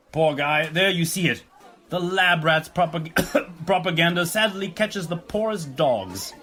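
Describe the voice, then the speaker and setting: An actor playing a pompous president giving a rally speech in an audio drama, stammering, repeating himself and coughing often. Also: male voice, fairly high pitch, expressive, slow, pushed and bright, heavily acted. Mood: indignant.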